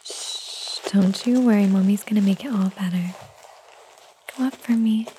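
A woman doing a whispered ASMR roleplay, talking softly and soothingly in a gentle whisper. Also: mid-range voice, normal speed, a little hiss or hum. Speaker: ASMR performer.

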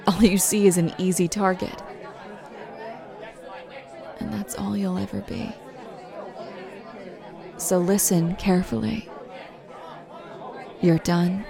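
A fiercely protective young girlfriend, played by a woman in a roleplay audio, who talks straight to you and calls you "baby." She speaks slowly and takes her time over each word. A faint murmur of a crowd sits behind her. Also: medium pitch. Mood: furious.

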